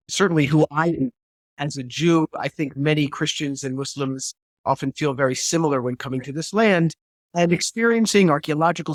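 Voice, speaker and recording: Guest speaker, man, 50s, slightly high pitch, thoughtful and searching, video-call mic. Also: no echo. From New Hampshire, USA. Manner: thoughtful, repeats words as he searches for phrasing. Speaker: guest historian and theologian. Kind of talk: reflective interview answer.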